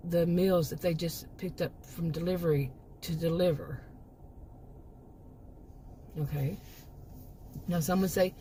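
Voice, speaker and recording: A woman's voice: on the low side, warm tone, a little hiss or hum. A middle-aged woman hosting her own channel, giving a rambling, emphatic opinion monologue with many restarts and asides while she is losing her voice. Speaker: commentary channel host.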